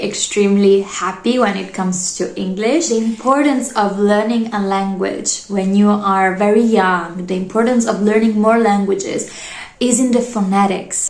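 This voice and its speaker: A woman's voice: mid-range voice, darkish tone. A young woman with an Italian accent, a bilingual English teacher, chatting in an earnest, casual way to her vlog audience. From Italy. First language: Italian and Polish. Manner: chatty, earnest, fond of asides like "you know". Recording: echoey room.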